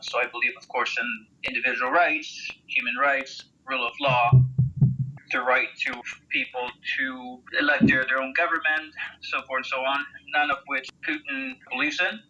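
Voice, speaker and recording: A middle-aged American man from Texas recounting his experience in an interview in a measured, matter-of-fact way. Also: medium pitch, little pitch movement, pushed and bright, a little hiss or hum, rough recording. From Texas, United States. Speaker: interviewed military volunteer and veteran.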